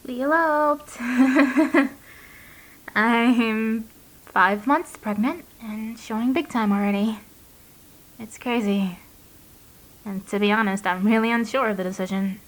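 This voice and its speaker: Anxious young woman, high-pitched voice. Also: poor audio. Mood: subdued, unsure. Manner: emotional, halting, confiding. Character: anxious, guarded young woman, reluctant new mother recording a diary. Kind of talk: audio drama monologue, confessional diary.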